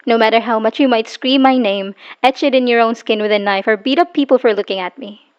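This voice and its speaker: Dull lo-fi audio, actress playing a hurt bitter woman finally leaving her abusive partner, halting n emotional, asmr roleplay style. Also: fairly high pitch, smooth, natural voice. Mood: weary, bitter. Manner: emotional, halting, sighing, dropping into whispers. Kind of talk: dramatic ASMR audio roleplay monologue, voicemail confession. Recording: dull lo-fi voice recording.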